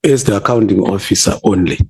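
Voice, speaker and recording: Zimbabwe-born principal under questioning, low husky voice, halting, lots of uhs. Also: male voice, a little mellow. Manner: hesitant, halting, many fillers. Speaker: college principal. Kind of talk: answering questions in a committee hearing.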